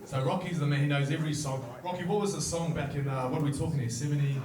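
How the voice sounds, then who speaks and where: Fast, jokey New co-host. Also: male voice, fairly high pitch, steady tone, reverberant, crowd noise. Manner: jokey, matey, loud. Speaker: podcast co-host. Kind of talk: casual banter.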